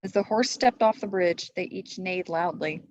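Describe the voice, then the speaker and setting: A woman's voice: lowish voice, moderate pace, darkish tone. A woman reading a children's storybook aloud as a teacher would, in a slightly muffled recording. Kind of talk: storybook read-aloud narration.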